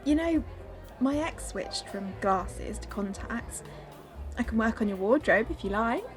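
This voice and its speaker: A woman's voice: a little high, lively intonation, unhurried, music in the background, crowd noise. An actress playing a teasing, flirtatious woman who is insecure and wounded underneath, in a radio drama. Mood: flirty, teasing. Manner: teasing, confessional.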